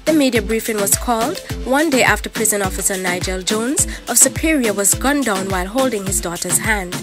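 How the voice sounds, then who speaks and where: A woman's voice: mid-range voice, brisk. A young woman, hosting a chatty entertainment news vlog and talking straight to her viewers. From Caribbean. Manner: chatty, animated, addresses viewers as guys. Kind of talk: casual news roundup vlog. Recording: music playing behind the voice. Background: music bed under the voice.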